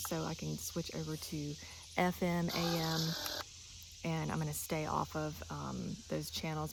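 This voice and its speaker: A woman's voice: low voice, vocal fry, background noise. A woman giving a casual, chatty, instructional product demonstration. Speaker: product reviewer.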